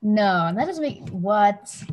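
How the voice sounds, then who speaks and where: A young woman in a casual chat with friends, reacting with excited disbelief. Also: medium pitch, takes it slowly.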